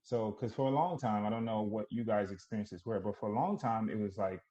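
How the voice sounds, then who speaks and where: A man's voice: fairly low pitch, fairly quick, lo-fi sound. A man from Nigeria, a podcast guest, talking casually and reflectively with lots of fillers. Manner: relaxed, reflective storytelling with many fillers.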